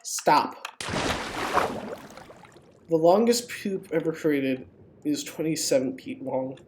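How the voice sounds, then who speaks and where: A woman with a slightly low voice streams a game casually, taking her time. She talks informally, swears freely and mock-pleads with her viewers. There is faint noise with keyboard clicks behind her. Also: expressive, takes it slowly. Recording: clearly noisy home stream, keyboard clicks.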